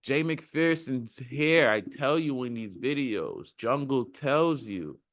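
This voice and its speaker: A man's voice: medium pitch, lively intonation, slow, fairly bright tone, no echo, clean studio sound. A man talking casually and earnestly to his viewers in a motivational monologue, rambling, with slangy phrasing and repeating himself for emphasis. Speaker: self-development video creator.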